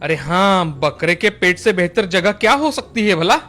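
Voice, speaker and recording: A man's voice: high voice, expressive, moderate pace, sharp tone, soft background music. A man playing an opportunistic village butcher in a cartoon, obliging on the surface but greedy, with exaggerated delivery. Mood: scheming.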